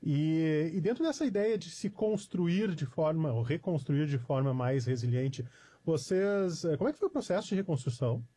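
A man's voice: a little high, lively intonation, moderate pace, warm tone. Chatty male host. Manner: chatty, filler-heavy questions with rising emphasis. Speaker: radio show host. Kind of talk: live talk show interview.

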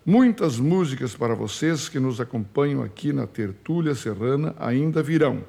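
A man with a southern Brazilian accent presenting a radio music show in a formal, courteous, measured announcer style. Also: medium pitch, animated, creaky, mellow, breathy edge. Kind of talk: formal scripted radio presenting with sponsor reads. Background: music under the voice.

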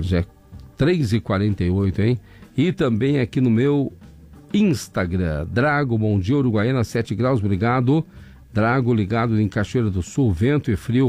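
Gravelly southern Brazilian radio host. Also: male voice, mid-range voice. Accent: southern Brazilian, Rio Grande do Sul. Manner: chatty, folksy, addresses listeners warmly. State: hoarse from laryngitis and sinusitis. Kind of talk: casual radio chat reading listener messages. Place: radio studio.

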